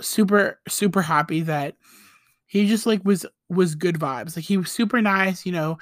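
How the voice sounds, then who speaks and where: A woman's voice: on the low side, normal speed, no room sound, clean studio sound. A young woman podcast host telling a story in a casual, chatty way, full of "like" and "you know" and laughing asides.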